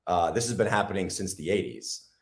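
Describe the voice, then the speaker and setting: Low, raspy-voiced man. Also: big pitch swings. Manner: halting, lots of ums and restarts. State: hoarse from days of talking. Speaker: guest. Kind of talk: casual interview talk.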